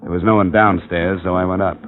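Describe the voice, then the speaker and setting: Casting: cool freelance investigator played by a man, deep voice, terse narration, thin vintage radio sound. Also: fairly even intonation, brisk, natural voice. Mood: moody, atmospheric. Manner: terse, businesslike. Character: cool, matter-of-fact male freelance insurance investigator. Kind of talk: 1950s radio detective acting and narration. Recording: tinny vintage radio recording.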